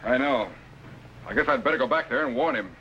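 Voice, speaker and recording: An actor playing a brisk, protective time-travelling captain in a 1950s children's sci-fi television show. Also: male voice, medium pitch, bright, forceful tone. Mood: brisk, concerned. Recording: noisy old TV soundtrack.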